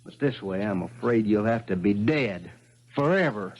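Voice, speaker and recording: An actor playing a scheming, falsely pious village villain with a folksy manner in a 1970s radio mystery drama. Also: male voice, fairly low pitch, lively intonation, moderate pace, a little mellow. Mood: cold, plotting.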